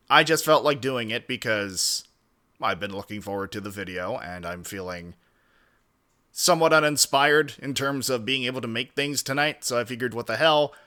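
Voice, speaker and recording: A man's voice: medium pitch, sharp tone. A man hosting a reaction stream with casual, wordy commentary and mildly formal, roundabout turns of phrase.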